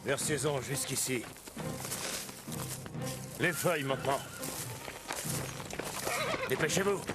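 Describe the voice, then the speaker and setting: A man playing a gruff, ruthless bandit henchman in a French cartoon. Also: medium pitch, slow, loud music behind the voice, lightly acted. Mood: barking orders. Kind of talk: French cartoon dub acting.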